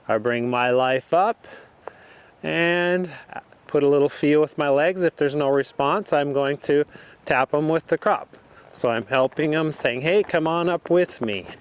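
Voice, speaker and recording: A man giving calm, explanatory riding instruction as he rides in an outdoor arena. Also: a little high, slight background noise. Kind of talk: instructional commentary while riding.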